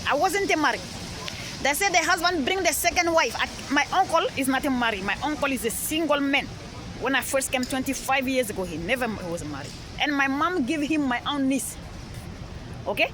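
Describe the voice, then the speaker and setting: A woman's voice: high voice, expressive, normal speed. A Malian-accented woman telling a rambling personal story outdoors, repeating herself and checking in with "all right?". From Mali. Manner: repetitive, insistent, frequent "all right?" checks. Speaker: street interviewee. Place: busy city street outdoors. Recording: noisy outdoor street recording. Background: traffic and street noise.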